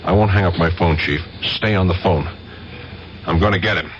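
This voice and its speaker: An actor with an American accent playing a tough, wisecracking, wary federal detective in a 1970s radio drama. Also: male voice, sits low, normal speed, lightly acted. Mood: tense, urgent. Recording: muffled, hissy 1970s radio recording.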